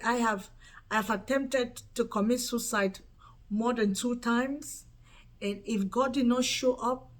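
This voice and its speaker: A woman from Cameroon, giving an earnest personal faith testimony and repeating words for emphasis. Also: on the high side. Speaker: channel host giving a testimony.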